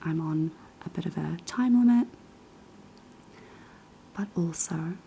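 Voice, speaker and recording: Young NZ woman, raspy post-sickness whisper, slow ASMR delivery, dark mellow tone. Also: deep voice, a little hiss or hum. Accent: New Zealand. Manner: soft, unhurried whispering with many ums. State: hoarse after being sick. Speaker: ASMR creator. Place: kitchen of a quiet flat.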